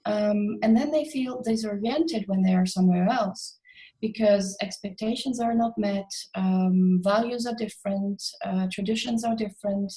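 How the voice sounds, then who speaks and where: A woman's voice: mid-range voice, warm tone, poor audio. A middle-aged woman answering as an interview guest, reflective and conversational, expressively voicing imagined quotes to illustrate her points. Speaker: interview guest, expat coach.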